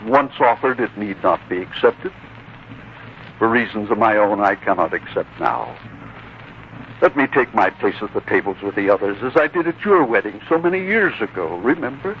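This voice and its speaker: A man playing a humble, revered older scholar, gentle and formal, in a muffled, noisy 1940s radio drama. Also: fairly low pitch, big pitch swings, moderate pace. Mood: modest, gently pleading.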